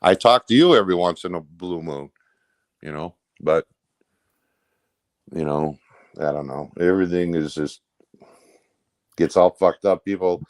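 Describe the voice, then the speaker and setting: Male interview guest: low register, close dry sound, casual, meandering, defensive, rough everyday wording. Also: unhurried, long pauses, dry recording. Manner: rambling, peppered with "you know".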